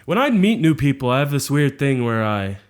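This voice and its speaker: A man's voice: mid-range voice, sing-song, a little fast. A man whose first language is Polish, co-hosting a podcast in casual chat, telling loose, profane stories and laughing often. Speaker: podcast co-host.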